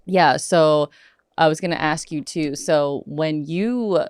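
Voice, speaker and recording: A woman in her thirties with a low voice hosts a casual podcast chat. She swears freely and slips into playful quoted voices. The sound is dry and close. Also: slow, darkish tone, clear, resonant tone, no echo. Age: 30s. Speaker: podcast host.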